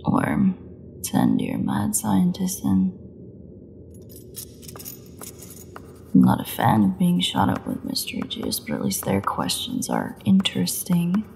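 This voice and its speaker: A woman playing a defiant captive vampire in an audio drama, snarky, mocking and bitterly flippant, with a gravelly voice. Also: rumbling low, huge pitch swings, measured pace, a little mellow, slight background noise, exaggerated voice. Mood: bored taunting. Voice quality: gravelly, creaky voice. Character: defiant, sarcastic captive female vampire under interrogation. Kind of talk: dramatic audio roleplay monologue.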